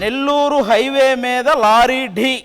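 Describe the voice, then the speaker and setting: A man's voice: very high-pitched, steady tone, measured pace. A man doing comedic stage banter as a comic sidekick on a television show. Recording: music behind the voice.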